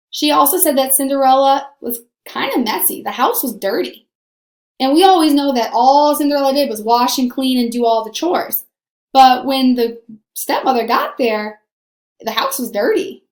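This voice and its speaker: Female primary teacher, high voice, conversational read-aloud discussion, repeats key points, questions her pupils. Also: animated, normal speed, echoey room.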